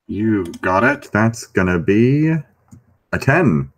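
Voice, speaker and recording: A man's voice: fairly low pitch, animated, slow, distant mic. A man playing a tabletop game, asking curious questions with restarts. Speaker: player. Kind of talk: casual tabletop play.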